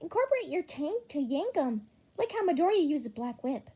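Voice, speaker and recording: A woman's voice: sits high, sing-song, moderate pace, warm tone, pitched above the performer's own voice. A woman voicing a dreamy, smitten teenage girl in an audiobook, sweet and gushing. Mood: chirpy.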